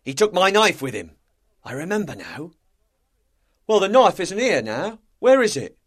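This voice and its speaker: A man voicing jittery, superstitious pirate crewmen in a storybook, exclaiming excitably. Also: high voice, moderate pace, voice pushed up. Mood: anxious. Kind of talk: dramatised storybook reading.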